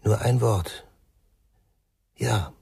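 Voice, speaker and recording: An actor playing an enigmatic, calm musician in a radio crime drama, speaking in terse, measured, knowing phrases with a husky, gravelly voice. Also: male voice, lowish voice, no room sound, clean studio sound, exaggerated voice. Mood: quiet, confiding.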